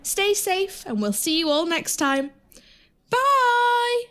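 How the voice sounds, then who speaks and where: A woman acts a kindly, effusive pantomime fairy godmother. Her high voice rings in a roomy space, with music clearly behind it. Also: big pitch swings, slow, full character voice. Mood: joyful. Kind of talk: audio pantomime acting. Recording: roomy, with loud music and crowd.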